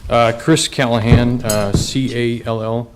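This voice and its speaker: A man's voice: mid-range voice, steady tone, a little slow. A man testifying as a union business manager at a county board meeting, speaking plainly with some hesitation. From Nebraska. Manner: plain, a little hesitant, with fillers. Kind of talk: public testimony. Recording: public meeting broadcast, faint background noise.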